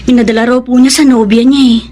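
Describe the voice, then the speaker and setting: A worried daughter , acted by a woman, in a radio drama. Her voice is high, and she speaks politely and hesitantly, full of concern. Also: steady tone, fast, mellow, slight background noise, lightly acted. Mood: worried, respectful. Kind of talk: Filipino radio drama acting.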